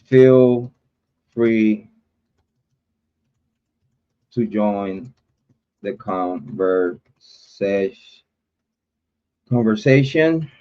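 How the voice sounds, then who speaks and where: A man's voice: lowish voice, clean tone, dull audio. A man from Rico, hosting a casual livestream chat. His talk rambles and drags with fillers and the odd curse. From Puerto Rico. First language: Spanish. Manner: rambling, chatty, drawn-out with fillers and cursing. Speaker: livestream host.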